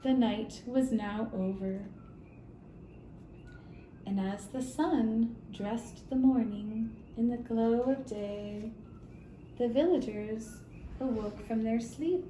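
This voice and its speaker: A woman telling a scripted story for young children in measured, deliberate phrases with long pauses, recorded in a roomy space away from the mic. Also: a little high, warm tone. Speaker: storyteller for a kindergarten puppet show. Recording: roomy, distant mic with faint noise.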